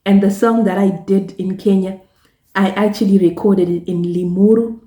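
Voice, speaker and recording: A woman from Zimbabwe with a Zimbabwean accent, Shona her first language, talking casually to camera in a slow, halting way with many repeated words and restarts. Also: mid-range voice, steady tone, warm tone, roomy sound. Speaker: travel vlogger and gospel singer.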